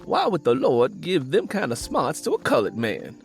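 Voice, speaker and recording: A man voicing a puzzled, pestering, slow-witted working man in folksy rural dialect for an audiobook. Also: fairly high pitch, huge pitch swings, vocal fry, soft background music, voice pushed up, full character voice. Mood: puzzled, insistent. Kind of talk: dramatised audiobook dialogue.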